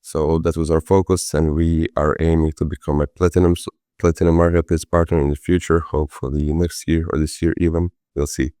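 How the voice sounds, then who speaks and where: Man from Croatia, very deep voice, smooth resonant tone, dry close sound, relaxed. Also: a little fast, no room sound. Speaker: product manager. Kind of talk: casual presentation with fillers.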